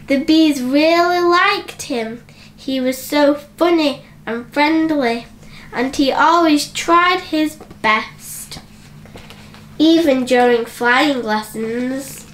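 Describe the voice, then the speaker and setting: A woman narrating a children's bedtime story in an expressive, deliberate manner aimed at small children. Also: sits high, slight background noise.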